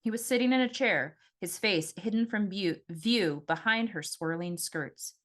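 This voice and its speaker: A woman reading her own fiction audiobook as an expressive first-person narrator. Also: medium pitch, unhurried.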